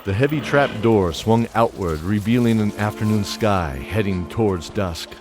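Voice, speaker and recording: A man narrating a scripted fantasy audio drama with dramatic, measured storytelling. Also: fairly low pitch, over loud music.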